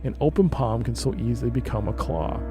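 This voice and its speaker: A man's voice: mid-range voice, fairly quick, over music. A man streaming a game, reading its narration aloud and adding casual commentary.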